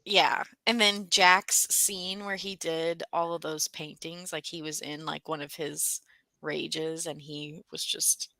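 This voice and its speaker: A woman's voice: low voice, steady tone, slow, vocal fry, sharp tone, dry recording. A woman co-hosting a casual book discussion, talking conversationally and thinking aloud as she goes.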